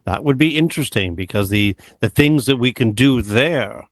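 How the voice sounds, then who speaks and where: A man's voice: medium pitch, expressive, moderate pace, dry recording, studio quality. A man chatting casually, joking and swearing as he acts out past exchanges. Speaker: game scripter. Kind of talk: casual chat.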